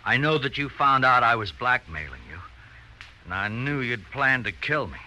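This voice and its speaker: An actor playing a nervous, scheming blackmailer in an old radio drama, urgent and prone to stammering. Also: male voice, medium pitch, sing-song, normal speed, sharp tone. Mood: triumphant, taunting. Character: nervous, scheming male blackmailer, jumpy and evasive. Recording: faintly noisy, thin old radio recording.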